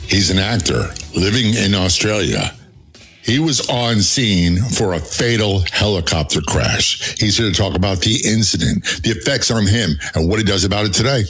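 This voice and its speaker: A middle-aged man, a retired police sergeant, hosting a talk radio show in a measured, steady way. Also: on the low side, breathy edge, soft background music. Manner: steady, measured interviewer with frequent fillers.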